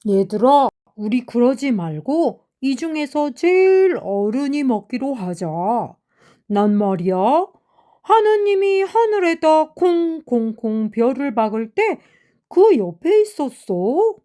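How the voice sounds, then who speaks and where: A boastful tiger from a children's tale, played by a woman storyteller. It swaggers through each phrase emphatically, in a high and mellow voice. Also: big pitch swings, takes it slowly, full character voice.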